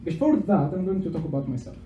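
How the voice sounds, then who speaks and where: A man's voice: a little high, lively intonation, fast, muffled recording, background noise. A young man from Greece with a Greek accent, giving a casual public science talk to a live audience in a pub. He is chatty and self-deprecating, using lots of fillers and repeated words. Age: young adult. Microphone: handheld microphone. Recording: echoey venue with faint background ambience.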